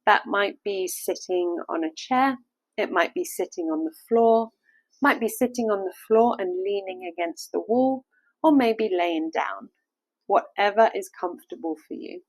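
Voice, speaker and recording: A woman's voice: mid-range voice, a little mellow, no echo, low-quality recording. Woman guiding a meditation, close and dry. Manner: soothing, unhurried instructing. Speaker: meditation guide. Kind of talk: guided meditation, gentle step-by-step instruction.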